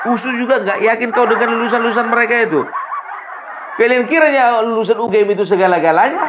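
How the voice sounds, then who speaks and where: A man from hosting a political commentary monologue, mocking and laughing often as he talks. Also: high voice. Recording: muffled audio with steady background noise.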